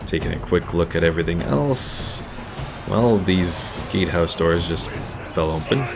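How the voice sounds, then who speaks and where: A man giving relaxed, casual live game commentary as a streamer, thinking aloud as he plays. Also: low voice, measured pace, creaky. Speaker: gaming streamer. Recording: game music behind the voice.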